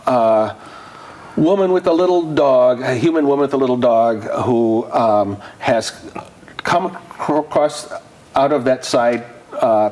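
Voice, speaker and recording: Guy running a tabletop game, lots of ums and pauses, a bit of hiss behind. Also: medium pitch, a little mellow, poor audio. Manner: halting, searching for words with many fillers. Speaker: game master.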